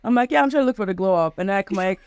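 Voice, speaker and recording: A young woman with a smooth, clear voice chats as a guest over a video call. She talks loosely and chattily, leaning on "like" as she goes. The sound is dry and close. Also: mid-range voice, lively intonation, rapid-fire, a little mellow, dry recording. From Nigeria. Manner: chatty, frequent "like" fillers, laughs easily. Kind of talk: casual video call chat.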